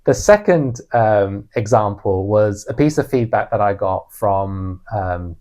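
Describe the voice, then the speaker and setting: A man's voice: lowish voice, expressive, a little slow, a little mellow. A man with a British accent co-hosting a talk podcast, sharing personal experiences conversationally and reflectively, often voicing remarks as quotes. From United Kingdom. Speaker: podcast co-host.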